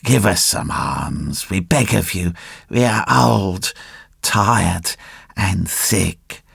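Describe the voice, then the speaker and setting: Woman as a slow, tearful old beggar fox. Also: very deep voice, expressive, vocal fry, breathy edge, no echo, clean studio sound, heavily acted. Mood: tearful begging. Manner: slow, drawn-out pleading. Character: sly old male fox, miserable whining beggar.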